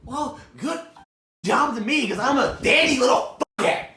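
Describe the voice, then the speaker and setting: Guy rambling about his radios, cusses a lot, yells at himself sometimes, echoey noisy room. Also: very high voice, expressive, moderate pace. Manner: rambling, self-deprecating, swears freely, bursts into shouting. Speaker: video host showing his radio collection. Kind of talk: casual show-and-tell vlog. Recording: echoey room with background noise.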